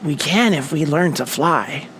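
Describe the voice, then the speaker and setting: A woman voicing a loyal, steady young bird in a children's storybook, calm and reassuring. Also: low voice, measured pace, a little hiss or hum, pitched below the performer's own voice.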